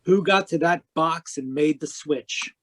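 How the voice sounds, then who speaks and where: A man playing a cynical private detective in 1940s radio noir style, wisecracking and deadpan as he talks and narrates. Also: fairly high pitch, a little slow. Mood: wry narration. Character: cynical hard-boiled male private detective.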